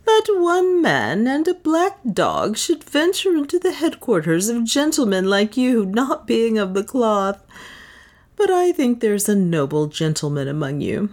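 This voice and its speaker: A woman's voice: a little high, sing-song, a little slow, darkish tone, voice pushed up. A woman reading aloud and voicing a cool, bold Englishman who speaks with polite courtesy. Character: adult male English adventurer, cool and bold.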